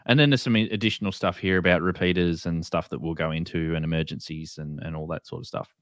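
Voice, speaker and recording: A man with an Australian accent hosting a casual explainer, speaking informally and haltingly, often repeating himself. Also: sits low, fairly even intonation, fairly bright tone, dry recording, clean studio sound. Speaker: host. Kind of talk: casual explainer with screen share.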